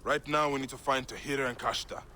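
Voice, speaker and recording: An actor playing a grave, determined assassin of ancient Egypt in a video game, terse and resolute. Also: male voice, mid-range voice, steady tone, quick pace, sharp tone, a little hiss or hum, natural voice. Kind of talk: video game cutscene acting.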